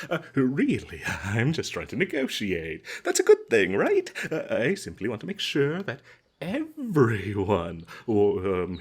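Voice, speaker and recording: A man playing a nervous, smooth-talking captured adventurer in a comedic fantasy audio roleplay, stammering and hesitant as he tries to ingratiate himself. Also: lowish voice, heavily acted. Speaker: voice actor.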